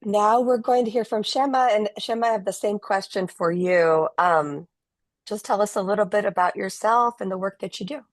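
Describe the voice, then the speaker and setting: A woman's voice: medium pitch, normal speed, no room sound, studio quality. A woman hosting an online panel, giving enthusiastic introductions with a few fillers over a video call. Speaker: host.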